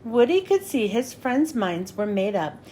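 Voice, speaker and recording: A woman narrating a children's storybook as a read-aloud host, with expressive, clearly phrased delivery. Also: fairly high pitch, a little slow, slight background noise.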